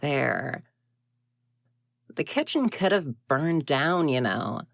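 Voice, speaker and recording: A woman's voice: low-pitched, sing-song, takes it slowly, creaky, no room sound, clean studio sound, heavily acted. A woman playing an exasperated ghost girl in an audio roleplay, huffy and indignant, often muttering to herself. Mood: grumbling, frustrated. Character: grumpy, exasperated tsundere ghost girl haunting a house.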